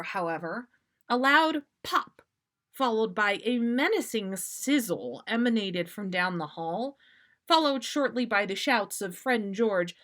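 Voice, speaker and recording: A woman's voice: mid-range voice, fairly bright tone. A woman reading a story aloud expressively, as a podcast host would.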